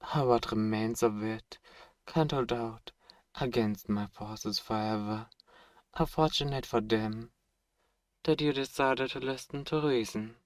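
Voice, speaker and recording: Man playing a slow, suave, sadistic vampire. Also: mid-range voice, no room sound, close to an everyday voice. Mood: smug menace. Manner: courtly, seductive, slow teasing menace with dramatic pauses. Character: suave, sadistic, possessive male vampire host. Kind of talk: ASMR roleplay, scripted dramatic monologue.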